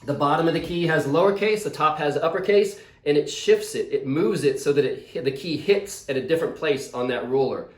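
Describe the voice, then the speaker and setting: A man's voice: a little high, brisk, few pauses. A man speaking as a visiting author, casually and enthusiastically explaining things to schoolchildren over a video call. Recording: roomy, echoey video call.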